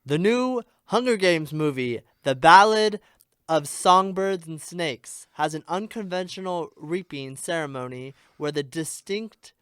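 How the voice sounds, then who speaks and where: A man with a fairly high, very clean voice co-hosts a spoof news update. He reads scripted joke headlines haltingly, tripping on words, in a dry-sounding recording. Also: lively intonation, fairly bright tone, clear, resonant tone, no room sound. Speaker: sketch comedy news co-host. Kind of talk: scripted parody newscast.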